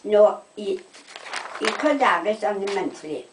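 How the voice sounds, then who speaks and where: An older woman with a South Wales Valleys accent, chatting casually and telling anecdotes in an animated way. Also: mid-range voice, measured pace, noisy background. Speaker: storytelling interviewee. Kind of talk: casual chat, personal anecdotes. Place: indoors at a house. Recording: roomy echo, distant microphone.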